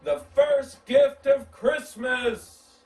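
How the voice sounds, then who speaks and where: A man voicing a jolly, commanding old Santa Claus with slow, booming proclamations. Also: steady tone, darkish tone, soft background music, heavily acted. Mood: triumphant. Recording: roomy, distant mic, background noise.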